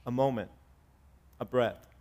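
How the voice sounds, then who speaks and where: A man in his forties preaching a sermon to his congregation, swinging between raised, emphatic lines and quiet, conversational asides. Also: medium pitch, measured pace. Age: 40s. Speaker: pastor. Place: church sanctuary with congregation.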